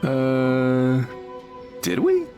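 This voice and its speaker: A man playing an easygoing, sluggish fisherman in an anime game, with a hesitant, drawn-out delivery. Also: mid-range voice, huge pitch swings, mellow, faint music behind, exaggerated voice. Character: easygoing, sluggish male fisherman, wary of rough seas.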